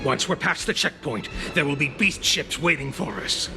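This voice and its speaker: Gravelly, scheming villain gang boss. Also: male voice, on the high side, animated, normal speed. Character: villainous male gang leader, scheming, hot-tempered, commanding. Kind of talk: dramatic video game acting. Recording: music behind the voice.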